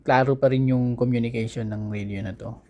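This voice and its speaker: A man's voice: mid-range voice, steady tone, mellow. Casual Filipino man reviewing a product. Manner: conversational, halting, searching for words. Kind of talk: casual unboxing and review talk.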